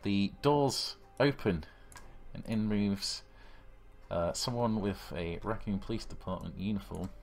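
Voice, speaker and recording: A man's voice: on the low side, measured pace, creaky. A man narrating a tabletop horror game as game master, in descriptive storytelling. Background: background music.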